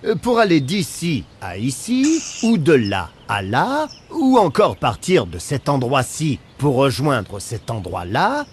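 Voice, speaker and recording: A man voicing an excitable, boastful inventor in a cartoon, with an enthusiastic salesman's delivery. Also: medium pitch, slow pace, a little hiss or hum. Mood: pompous pitch. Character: excitable, boastful male inventor of robotic scooters. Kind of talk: cartoon voice acting.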